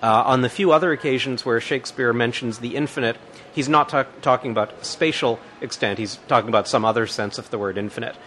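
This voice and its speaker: A man's voice: mid-range voice, fast talker, fairly bright tone, slight background noise. A man answering as a guest expert, explaining in a thinking-aloud way with many restarts and fillers.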